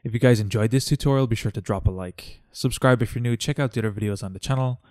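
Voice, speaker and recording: A man narrating a step-by-step software tutorial as its host. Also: mid-range voice, quick pace, dry recording, studio quality.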